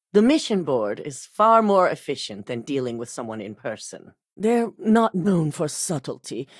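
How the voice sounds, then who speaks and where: A ruthless corporate executive for a video game, cool and controlled. Her voice sits low yet moves with lively rises and falls, delivered crisply in a dry studio sound. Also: dry recording. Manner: measured, clipped and coldly authoritative. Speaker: company boss giving missions.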